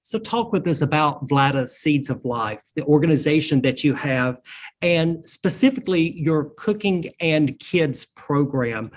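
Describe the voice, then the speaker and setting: A man's voice: fairly high pitch, lively intonation, measured pace. Polished male broadcast interview host.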